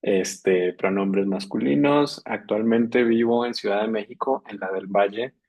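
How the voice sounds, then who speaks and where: Husky-voiced colombian man, chatty. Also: on the low side, a little slow, a little mellow. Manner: chatty, laughs often, many fillers. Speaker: workshop participant. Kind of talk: casual self-introduction. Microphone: video call.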